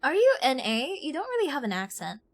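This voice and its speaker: A woman's voice: high voice, huge pitch swings, a little fast. A young woman from Ireland chatting casually as she streams a game, informal and slangy, often self-deprecating. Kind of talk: casual live stream chat. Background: game music.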